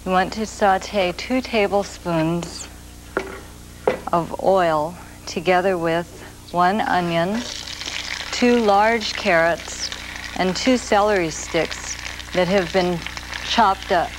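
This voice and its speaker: A woman in her forties talks slowly and gently as she walks through a recipe on a studio kitchen set. Her voice sits a little low. The sound is an old videotape copy with clear hiss underneath. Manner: slow, gentle and soft-spoken. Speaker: cooking show host. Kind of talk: TV cooking demonstration. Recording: grainy 1980s videotape with noise.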